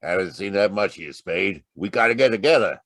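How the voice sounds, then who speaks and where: Man acting shady private detective, pushy and chummy, animated pitch, slangy old-time radio delivery, dry sound. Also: fairly quick, dry recording, exaggerated voice. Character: shady, pushy rival male private eye.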